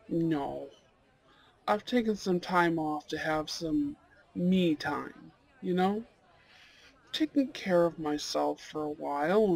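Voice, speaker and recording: A man playing a shy, polite, slightly dorky young goth on a blind date, in a romantic ASMR roleplay, with a husky, creaky voice. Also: on the high side, poor audio. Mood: earnest. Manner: hesitant, soft-hearted, nervous little laughs.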